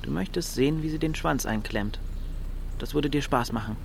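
A man's voice: mid-range voice, moderate pace, vocal fry, a little hiss or hum, lightly acted. An actor playing a cocky, scheming young small-town man in a radio drama, talking in a slangy, pushy, offhand way. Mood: scheming, goading. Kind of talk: naturalistic radio drama acting in German.

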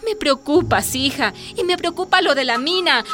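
A woman's voice: high voice, animated, moderate pace, over music. An actress playing a stubborn, outspoken village mother in a radio drama, worried and insistent. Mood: scolding, worried.

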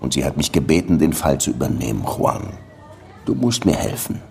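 An actor playing a world-weary, dogged police detective who also narrates, in a radio drama, with a measured, dry delivery. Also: male voice, a bass voice, sing-song, vocal fry, a little mellow, slight background noise, exaggerated voice. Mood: insistent. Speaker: lead detective and first-person narrator.

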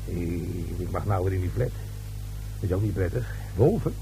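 An actor playing a gossipy, grumbling caretaker in a radio play, hesitant and repeating himself. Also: male voice, low voice, moderate pace, warm tone, poor audio. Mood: uneasy, grumbling. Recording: old radio recording with faint hum and noise.

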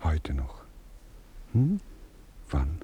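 An actor playing a tender aristocratic baron who speaks intimately and softly in a radio play. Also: male voice, drawn-out words, slightly rough, exaggerated voice. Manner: intimate, soft-spoken, lively pitch.

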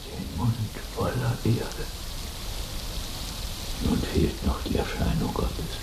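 An actor playing a grave priest intoning last rites in a radio drama, solemn and hushed. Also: male voice, slow pace, echoey room, background noise, lightly acted. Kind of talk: German radio drama acting.

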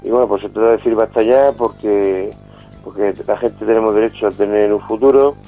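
Lowish-voiced Spanish man on a dull line. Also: steady tone, fairly quick. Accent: Spanish from Spain. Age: 50s. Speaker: activist interview guest. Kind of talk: informal spoken interview. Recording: dull band-limited call with music behind.

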